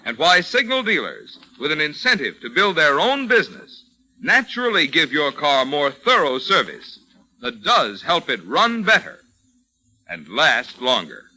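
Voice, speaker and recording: A man announcing in polished, emphatic 1940s radio style, delivering sponsor pitches and program credits in measured phrases. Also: big pitch swings, sharp tone, rough recording. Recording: old 1940s radio recording.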